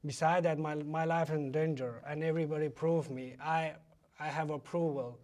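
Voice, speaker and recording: A man from Afghanistan with an Afghan accent, a native Pashto speaker, answering interview questions in halting English. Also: a little high, fairly even intonation, a little mellow. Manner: halting, non-fluent English with repeated phrases. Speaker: interview guest, former military interpreter.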